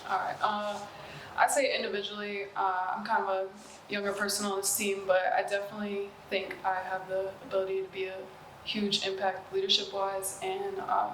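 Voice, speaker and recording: A woman's voice: mid-range voice, completely flat delivery, moderate pace. A young woman college basketball player from South Carolina, giving short, earnest answers. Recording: echoey room with background noise.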